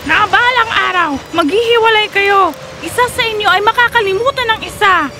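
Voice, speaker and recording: Fairy tale ogress, vengeful, menacing, piercingly high, forceful, declaiming. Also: female voice, brisk, recorded from a distance, slight background noise. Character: vengeful female ogre casting a curse. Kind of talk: fairy tale cartoon voice acting.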